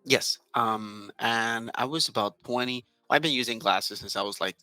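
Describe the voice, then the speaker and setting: A man's voice: medium pitch, moderate pace, pushed and bright, no echo, soft background music. A man in his thirties, a native Spanish speaker from Southern California, talking earnestly as an interview guest, with many restarts and fillers. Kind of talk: casual interview talk.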